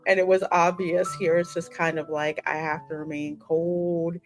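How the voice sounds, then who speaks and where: A woman with a slightly low voice hosts a gaming channel in a casual, conversational style. She talks through story and characters, with faint music playing underneath. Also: flat delivery, normal speed. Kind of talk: casual discussion of a game story.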